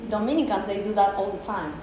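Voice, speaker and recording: A woman's voice: medium pitch, brisk, darkish tone. A woman whose first language is Spanish, speaking English thoughtfully on an artists' panel and searching for her words. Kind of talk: reflective panel discussion. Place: exhibition space, indoors. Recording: echoey room with faint noise.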